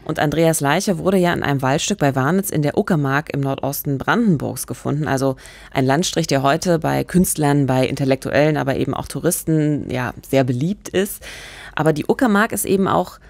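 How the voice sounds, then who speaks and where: A middle-aged woman co-hosting a true-crime podcast, reflective and measured at a studio microphone. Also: low-pitched, creaky, a little mellow, no echo. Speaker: podcast co-host. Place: radio studio. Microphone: studio microphone, headphones.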